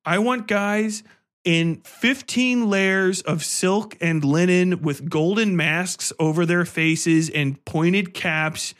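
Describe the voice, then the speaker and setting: A man with a somewhat high-pitched voice hosts a podcast. He talks casually and profanely, in an animated, exaggerated comic rant. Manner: animated, sweary, exaggerated, hyperbolic. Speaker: podcast host.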